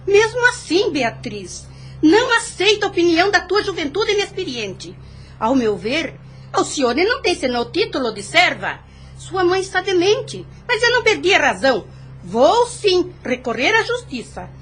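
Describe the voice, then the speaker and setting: A woman's voice: extremely high pitch, very animated, moderate pace, fairly bright tone, full character voice. A Brazilian woman playing a haughty, indignant aunt in a formal audio drama, speaking with scorn. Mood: scornful. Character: indignant, haughty aunt contesting her father's will.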